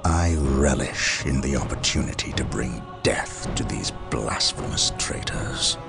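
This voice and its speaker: A man's voice: a bass voice, unhurried, vocal fry, over music, heavily acted. A man playing a stern, zealous space marine captain in a video game, speaking in a grave, formal, commanding manner. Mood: brooding, grave. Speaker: military commander. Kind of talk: dramatic game cutscene monologue and orders.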